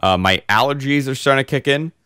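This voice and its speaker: Man, host, hoarse, congested, rambling storyteller, average pitch, dry sound. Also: quick pace, no echo. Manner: rambling, animated storyteller with many fillers. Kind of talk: casual podcast chat.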